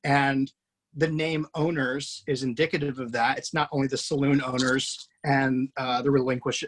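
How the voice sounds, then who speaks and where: A man's voice: medium pitch, little pitch movement, moderate pace, a bit bright, slight background noise. A man, the writer and host of an online table read, talking casually with many fillers and restarts over a video call.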